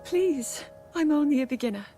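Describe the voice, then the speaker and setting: A woman's voice: high-pitched, animated, normal speed, mellow, faint music behind. A woman voicing a modest, earnest young princess, polite and hesitant, in a video game cutscene. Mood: humble, unsure.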